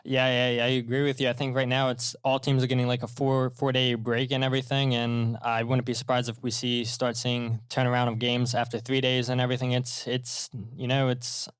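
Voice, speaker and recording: A man's voice: medium pitch, monotone, fairly quick, few pauses, clean tone, dry recording. A man from Maryland hosting a soccer podcast, chatty and loose, leaning on fillers. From Maryland, USA. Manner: chatty, leans on fillers like "and everything". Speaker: podcast host. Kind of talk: casual podcast chat.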